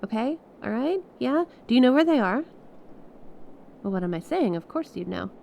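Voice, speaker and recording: A woman playing an earnest, awestruck stranded space pilot in an ASMR audio roleplay, speaking expressively and haltingly. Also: fairly high pitch, lo-fi sound. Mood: uneasy, persuading. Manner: expressive, halting, conversational, with small laughs and breathy exclamations. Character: stranded young female star scout pilot, awestruck and earnest.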